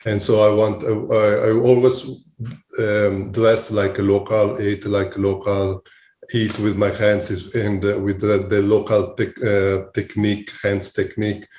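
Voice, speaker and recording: A man's voice: lowish voice, level tone, unhurried, darkish tone. A man with an Italian accent answering interview questions conversationally and reflectively, searching for his words as he goes. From Italy. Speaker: podcast guest, hospitality company CEO. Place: remote call, echoey room. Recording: echoey remote call.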